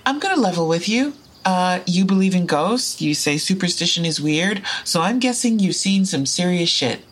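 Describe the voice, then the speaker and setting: Low-voiced, frank woman time traveler. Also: lively intonation, normal speed. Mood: confiding, earnest. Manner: casual, wry, sweary. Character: frank, foul-mouthed woman time traveler searching for her lost partner. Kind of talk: audio drama dialogue and first-person narration.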